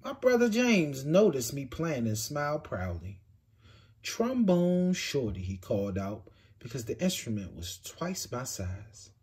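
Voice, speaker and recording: A man reading a picture book aloud with expressive, swinging delivery. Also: measured pace, husky. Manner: expressive storytelling with big swings in pitch and loudness.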